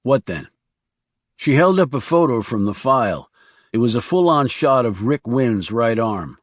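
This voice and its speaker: A man's voice: medium pitch, lively intonation, moderate pace, dry recording, studio quality. A man reading scripted thriller narration as an audiobook narrator.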